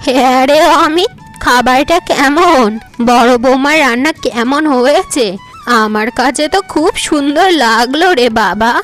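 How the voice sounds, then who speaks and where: A woman's voice: high voice, unhurried, a bit bright, faint music behind. A woman voicing a doting village mother-in-law in a cartoon tale, speaking affectionately.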